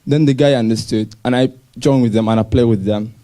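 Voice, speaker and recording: A young man from Somalia telling his personal story to an audience in simple, halting English with restarts. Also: medium pitch, steady tone, mellow. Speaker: speaker sharing his refugee story. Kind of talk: personal story talk on stage.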